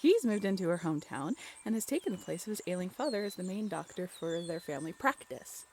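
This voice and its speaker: Woman acting chatty, lowish-voiced young storyteller, noisy recording. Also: very animated, quick pace, darkish tone. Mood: engrossed, chatty. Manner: informal, giggly, conversational asides with rhetorical questions. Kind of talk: casual, animated storytelling in an audio roleplay.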